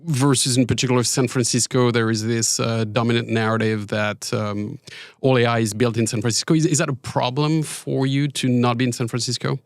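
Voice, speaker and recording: A man's voice: mid-range voice, level tone, fairly quick, no echo, studio quality. A middle-aged man hosting a podcast, a native French speaker asking questions in English with hesitations and fillers. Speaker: podcast host. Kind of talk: podcast interview.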